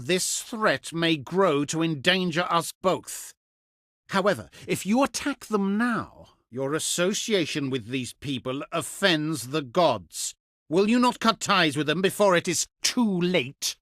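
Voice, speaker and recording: A condescending, cultured envoy from an ancient civilization in a strategy game. His slightly high male voice moves through lively, theatrical rises and falls. He speaks in formal, self-important oratory, recorded dry and close, with no room sound. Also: dry recording. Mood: persuasive. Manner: formal, pompous, measured oratory. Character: haughty, cultured Etruscan diplomat, pious, omen-reading, condescending man. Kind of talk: theatrical video game voice lines.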